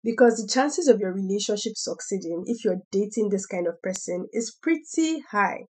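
A young woman hosting a faith podcast, talking in a chatty way with a hoarse voice and laughing between points. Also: mid-range voice, animated, normal speed, darkish tone. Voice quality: husky. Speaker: podcast host. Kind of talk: faith-based casual podcast talk.

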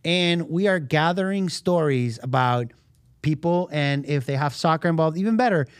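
A man with a Puerto Rican accent co-hosts a casual podcast, talking in animated bursts with restarts and trailing lines. His voice sits a little high. The sound is close and dry. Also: normal speed, dry recording. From Argentina. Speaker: podcast co-host. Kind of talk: casual chat.

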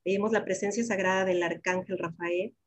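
A woman with a medium-pitched voice leads a spiritual invocation for a guided meditation. She is solemn and prayerful, setting out each phrase in turn. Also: steady tone, brisk.

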